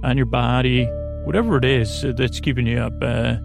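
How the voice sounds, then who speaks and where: A man hosting a sleep podcast, talking in a meandering, halting, whimsical way with a creaky voice. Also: medium pitch, fairly even intonation, over music. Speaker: podcast host. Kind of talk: rambling bedtime sleep podcast monologue.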